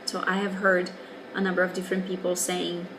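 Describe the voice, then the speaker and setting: A woman's voice: fairly low pitch, monotone, fairly quick, background noise. A woman in her twenties from Brazil, a native Portuguese speaker with a Brazilian accent, working as an English teacher and frankly explaining things in a casual video reply. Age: 20s. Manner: candid and wry, explaining patiently.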